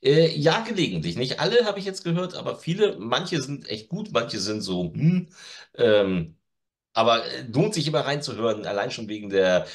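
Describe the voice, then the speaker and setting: A man's voice: medium pitch, big pitch swings, moderate pace. A middle-aged man co-hosting a casual, informal chat, with a wry way of commenting. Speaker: co-host. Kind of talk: casual co-hosted chat about new audio drama releases. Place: home room with media shelves, over video call.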